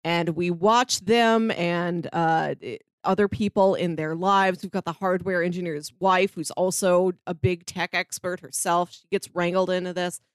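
A woman's voice: on the low side, fairly even intonation, measured pace, few pauses, clear, resonant tone, dry recording. Woman cohost recapping a tv show, dry close mic, laughs a bit as she talks. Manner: summarizes plots with light laughs. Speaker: podcast co-host. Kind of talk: casual review chat.

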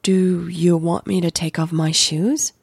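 A woman's voice: fairly low pitch, steady tone, unhurried, creaky, husky, no echo, full character voice. A woman voicing a poised, teasing, flirtatious woman in a romantic audio drama, cool and understated.

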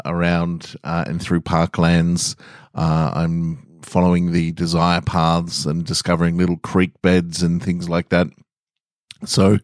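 An Australian man speaks in a notably deep voice. He hosts a story podcast, moving between casual talk and reading aloud. The sound is close and dry, with little room in it. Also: dry recording. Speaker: podcast host reading short stories.

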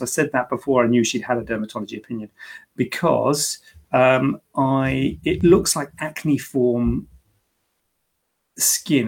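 A man's voice: mid-range voice, husky, poor audio. A man, a plastic surgeon, hosting a live-streamed question and answer session in a chatty, joking way, explaining medical advice in plain terms. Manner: chatty, joking, self-deprecating asides. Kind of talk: live-streamed Q&A, casual chat.

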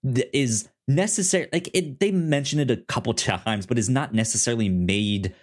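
A man co-hosting a casual podcast discussion, speaking haltingly and often repeating syllables and words in a stutter-like way. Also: mid-range voice. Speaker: podcast co-host.

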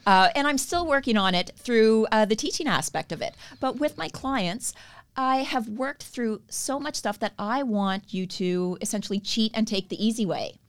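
A woman's voice: a little high, moderate pace. A woman with a Canadian accent, a podcast guest earnestly explaining her therapy work and laughing easily. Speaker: guest, stretch therapy educator. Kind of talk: podcast interview.

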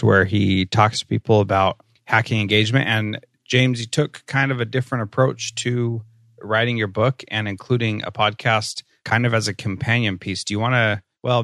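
A man's voice: fairly low pitch, a bit bright, no echo, studio quality. A man hosting a podcast interview, upbeat and conversational, laughing easily with his guest. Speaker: podcast host.

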